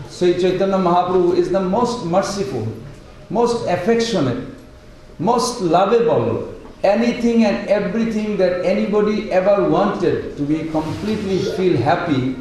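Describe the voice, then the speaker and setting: A man with a loud voice, giving an earnest devotional lecture with many pauses and restarts. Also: sits high. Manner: earnest, unhurried, with pauses and broken sentences. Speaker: speaker at a devotional study group. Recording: very echoey room, voice far from mic, faint hiss.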